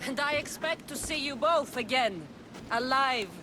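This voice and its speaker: A woman's voice: high voice, measured pace, background noise. Determined woman warrior. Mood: firm, urgent. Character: fierce, protective Greek mercenary woman hero. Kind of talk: dramatic video game acting. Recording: dramatic music behind the voice.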